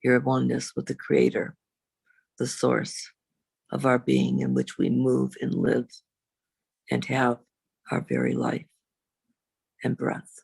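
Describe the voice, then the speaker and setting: A woman reverend leading a guided meditation in a slow, soothing, unhurried way, with long pauses. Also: darkish tone, no room sound. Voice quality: low, husky, creaky.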